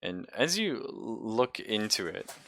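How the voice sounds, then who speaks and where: A man narrating a tabletop sci-fi horror game as game master, halting as he thinks aloud. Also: on the low side, big pitch swings, gravelly, fairly bright tone, slight background noise.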